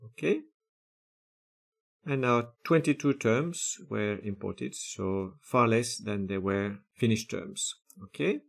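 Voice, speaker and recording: Man, gravelly creaky voice, methodical step-by-step tutorial narration, filler sounds. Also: fairly low pitch, takes it slowly. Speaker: software tutorial presenter. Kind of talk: screen-recorded software walkthrough.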